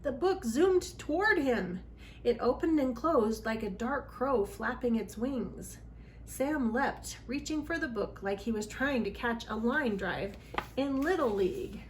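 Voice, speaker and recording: A woman's voice: a little high, animated, a little slow, a little hiss or hum. A woman hosting a children's read-aloud, reading a storybook expressively with dramatic emphasis.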